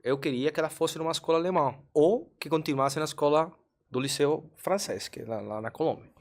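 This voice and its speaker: A man from Colombia, a native Spanish speaker, talking as a podcast guest in Portuguese with a Colombian accent, earnest and measured, sometimes searching for words. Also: fairly high pitch. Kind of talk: casual interview storytelling.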